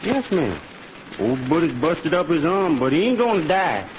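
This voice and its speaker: A muffled, hissy old-time radio western recording of a bashful Southern cowhand. He speaks in a high, swooping voice, simple, honest and politely folksy. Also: sing-song, normal speed. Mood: earnest. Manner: folksy, drawling, deferential.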